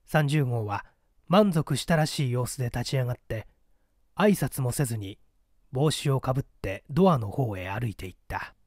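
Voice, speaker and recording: A man's voice: medium pitch, expressive, normal speed, darkish tone, no room sound, studio quality. A man reading a mystery story aloud as dramatic scripted narration. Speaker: audiobook reader.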